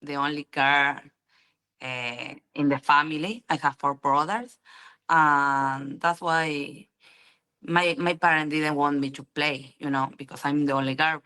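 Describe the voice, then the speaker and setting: A young woman from Argentina with an Argentine Spanish accent, a guest in an interview, answering in halting English full of ums and "you know.". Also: sits low, sharp tone, poor audio. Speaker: interview guest, pool player.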